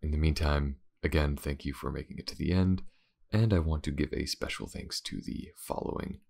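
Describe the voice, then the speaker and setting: Very deep-voiced man, casual ASMR host. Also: steady tone, moderate pace, gravelly, darkish tone. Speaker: ASMR voice actor and channel host. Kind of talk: casual sign-off to listeners.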